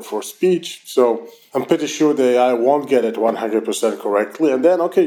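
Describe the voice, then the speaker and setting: Israeli-accented man, mellow voice. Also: mid-range voice. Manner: fast, loose phrasing with frequent restarts. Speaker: company founder and CEO. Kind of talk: casual interview answers. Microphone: remote online call.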